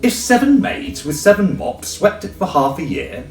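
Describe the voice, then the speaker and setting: A man's voice: high-pitched, sing-song, normal speed, roomy sound, distant mic, soft background music, pitched above the performer's own voice. A man voicing a pompous, sentimental walrus from a storybook, beseeching in a theatrical way. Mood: coaxing, theatrical.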